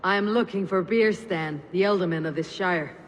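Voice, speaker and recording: A woman's voice: on the low side, moderate pace, natural voice. Blunt Viking warrior woman in a hall, acted. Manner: dry, terse, commanding. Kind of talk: video game dramatic acting. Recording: hall reverb, faint ambient noise.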